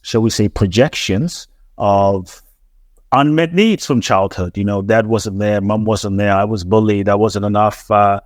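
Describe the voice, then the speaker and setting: Man, low voice, earnest, reflective, stammering restarts, dry close sound, interview guest. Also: unhurried, dry recording. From grew up in London. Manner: earnest, repetitive, frequent restarts and stammered repeats. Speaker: transformational teacher, podcast guest.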